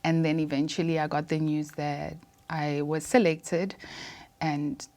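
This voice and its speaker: A woman in her thirties speaks with a South African accent in a fairly low register. She answers interview questions conversationally, as a guest describing her own working life. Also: level tone, measured pace, darkish tone. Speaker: interview guest, air traffic controller.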